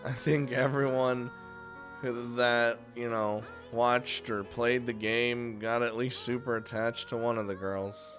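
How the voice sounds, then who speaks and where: Male gaming YouTuber, mid-pitched and unhurried, informal and sweary commentary over faint guitar music. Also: fairly even intonation, fairly bright tone. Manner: informal, chuckling, frequent swearing. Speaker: video game YouTuber hosting a top five list. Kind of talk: casual video commentary on visual novels.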